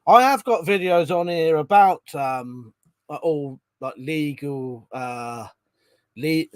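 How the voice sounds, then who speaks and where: A man with a stuffy, cold-congested voice, pitched a little higher than most men's. He chats loosely with viewers, restarting sentences and filling gaps with ums. The sound is dry and close, with no room around it. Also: slow, dry recording. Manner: chatty, rambling, frequent ums and restarts. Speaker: live stream host. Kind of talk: casual live chat reading out viewer comments.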